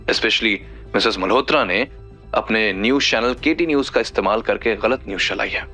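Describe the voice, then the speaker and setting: A man's voice: lowish voice, normal speed, pushed and bright, voice pushed down. A man playing a stern, indignant male television expert in an audio drama. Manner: grave, condemning. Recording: background music under the voice.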